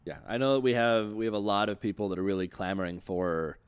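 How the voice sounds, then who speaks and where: Clear, low-voiced male interviewer. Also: fast. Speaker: host interviewer. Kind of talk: casual livestream interview.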